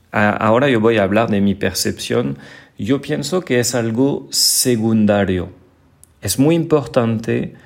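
A man whose first language is French speaks Spanish with a marked French accent, answering listener questions on his podcast in a friendly, explanatory way with many small repetitions. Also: on the low side. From France. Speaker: podcast host and French teacher. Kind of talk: casual podcast Q&A.

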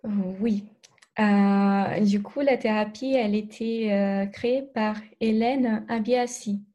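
Slow, soft-toned Brazilian woman. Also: medium pitch, steady tone. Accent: Brazilian Portuguese. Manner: hesitant, many pauses and restarts. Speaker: guest therapist. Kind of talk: podcast interview.

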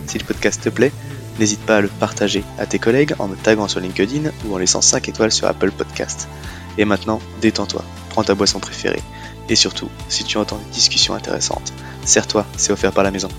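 Low-voiced man reading a podcast intro. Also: steady tone, brisk. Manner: welcoming, addresses the listener directly. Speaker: podcast host. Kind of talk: scripted show intro. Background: background music.

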